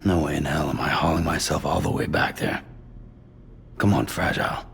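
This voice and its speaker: A man playing a gruff, blunt, world-weary courier hero in a video game cutscene. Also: rumbling low, fairly even intonation, moderate pace, fairly bright tone, slight background noise, heavily acted. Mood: defiant. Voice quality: gravelly. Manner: curt, blunt, swearing.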